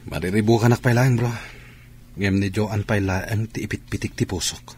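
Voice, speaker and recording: A raspy, troubled straying husband. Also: male voice, fairly low pitch, fairly even intonation, normal speed, a little mellow. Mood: troubled, defensive. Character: troubled unfaithful husband and absent father, adult. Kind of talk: Ilocano radio drama acting.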